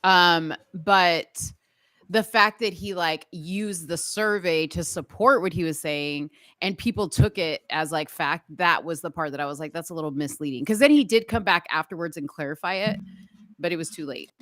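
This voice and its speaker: Woman, co-host, frank, chatty, laughs easily, lowish pitch, dry sound. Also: normal speed, a bit bright, dry recording. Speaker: show co-host. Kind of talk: casual debate chat.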